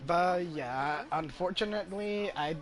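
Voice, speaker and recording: A man giving casual live commentary as a game streamer, chatty and a little halting. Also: high-pitched. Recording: faint background noise.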